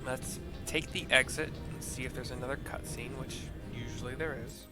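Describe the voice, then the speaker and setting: A man narrating a video game as it plays, describing scenes in a plain-spoken, halting way. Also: medium pitch, fairly even intonation. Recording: under very loud game music. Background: loud game music.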